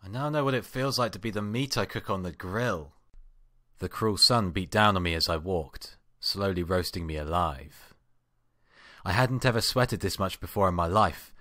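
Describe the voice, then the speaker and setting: A man giving an amateur dramatic reading of a first-person story, with expressive, acted-out delivery. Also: low voice, moderate pace, no echo, studio quality. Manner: expressive, acts out exasperated outbursts. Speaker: amateur fan fiction reader.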